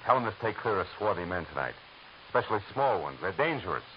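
An actor playing a hard-boiled private eye with dry, sardonic wisecracks, narrating and talking in 1940s radio acting. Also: male voice, low-pitched, sing-song, quick pace, over loud music. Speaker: narrator and detective. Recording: hissy dull old radio broadcast.

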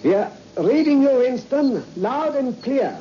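An actor playing an excitable, insistent professor in a 1960s radio drama, speaking emphatically and theatrically. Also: male voice, extremely high pitch, a little slow, slight background noise, heavily acted. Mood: excited. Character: excitable, insistent male professor running a space mission. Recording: dull old radio recording with loud music.

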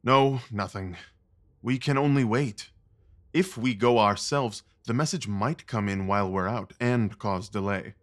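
A man playing a brooding, guarded Victorian gentleman detective in an audio drama, speaking in a clipped, formal, world-weary way. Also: on the low side, unhurried. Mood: troubled, restless.

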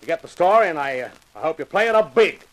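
An actor playing a gruff, mocking homicide inspector in 1950s radio drama style. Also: male voice, fairly high pitch, animated, quick pace, natural voice, low-quality recording. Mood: sardonic, needling. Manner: mocking, heavily sarcastic, needling. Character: gruff sarcastic homicide police inspector, taunting.

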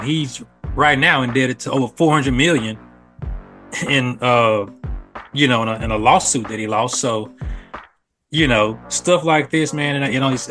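Very bright-voiced man giving podcast opinions. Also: medium pitch. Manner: opinionated, emphatic, slangy. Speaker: podcast guest. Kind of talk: casual podcast commentary.

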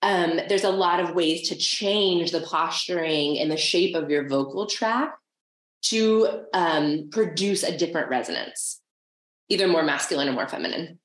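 A woman talks with eager enthusiasm as a guest expert and explains things at length. Her phrases trail off into vocal fry, and her voice sits on the low side. The recording sounds roomy and echoey. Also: expressive, moderate pace. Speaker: speech therapist guest. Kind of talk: interview explanation.

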